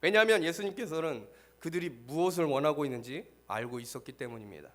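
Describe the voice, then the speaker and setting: A man preaching a sermon as pastor, hoarse from a cold, reading scripture and then explaining it earnestly. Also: animated, fast talker. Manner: earnest, rises in pitch and force when stressing a point. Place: church.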